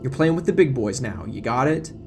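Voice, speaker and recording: A man's voice: mid-range voice, expressive, brisk, a little mellow, soft background music, lightly acted. A man voicing a brusque, self-assured woman television reporter in an audio drama, curt and dismissive. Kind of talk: audio drama character acting.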